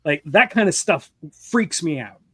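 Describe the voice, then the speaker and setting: A man's voice: on the high side, animated, a little slow, slightly rough, no room sound, studio quality. A man talking in a casual group chat, thinking aloud earnestly with lots of "like" fillers. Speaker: author and stream regular.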